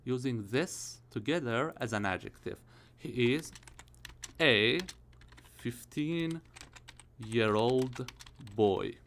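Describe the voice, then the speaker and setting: A man in his early thirties gives a grammar lesson at a mid-range pitch. He explains each point step by step and keeps checking in with his viewers. Keyboard typing is heard behind him. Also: expressive, extremely slow, long pauses, fairly bright tone. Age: 30s. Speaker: English teacher.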